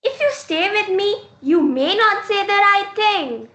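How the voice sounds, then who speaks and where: High-voiced, firm mother played by a woman, echoey room. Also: lively intonation, unhurried, pushed and bright, full character voice. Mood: doubtful, scolding. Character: strict, advising mother of a talkative young girl. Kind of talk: classroom reader's theater dialogue. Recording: roomy, echoing room sound.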